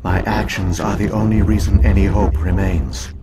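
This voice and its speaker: An actor playing an ancient alien artificial intelligence in a video game, speaking in a formal, measured, unemotional way. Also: male voice, low-pitched, fairly even intonation, very noisy, lightly acted. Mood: solemn, measured. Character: ancient alien AI hologram guardian, solemn and measured. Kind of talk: scripted narration, video game acting.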